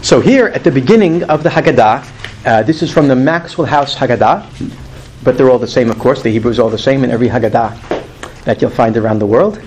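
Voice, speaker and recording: A man's voice: mid-range voice, fairly quick, a little mellow, slight background noise. A man in his fifties teaching a weekly Torah class to a group, earnest and emphatic, lifting his voice on key words. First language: not English.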